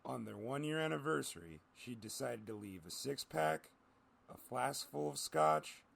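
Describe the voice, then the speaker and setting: A man's voice: medium pitch, vocal fry, a bit bright, slightly rough. A man narrating a scripted story.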